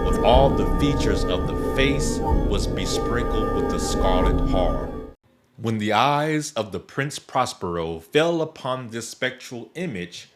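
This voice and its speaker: A man narrating a gothic horror tale in a dramatic, deliberate, scripted style. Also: on the low side. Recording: prominent music bed under narration. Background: music.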